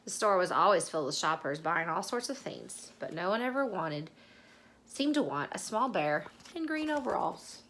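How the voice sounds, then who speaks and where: Woman reading a children's story with lively pitch. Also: moderate pace, a little hiss or hum, poor audio. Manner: dramatic, with hushed asides to the listener. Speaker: storybook reader. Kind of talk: expressive children's story read-aloud.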